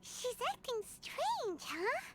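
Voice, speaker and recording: A woman voicing a tiny childlike fairy companion in a video game, squeaky, perky, nosy and easily indignant. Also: wildly swooping pitch, slow, background noise, exaggerated voice. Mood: puzzled. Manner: exclamatory, speaks of herself in third person. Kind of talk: video game character acting. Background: game music.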